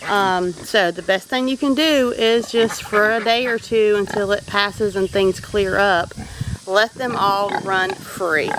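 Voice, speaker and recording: A woman's voice: mid-range voice, a bit bright, slight background noise. Folksy Southern woman on a farm. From Louisiana. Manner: down-to-earth, folksy, practical. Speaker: homesteader vlogger. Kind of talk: casual walking vlog. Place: outdoors on a farm.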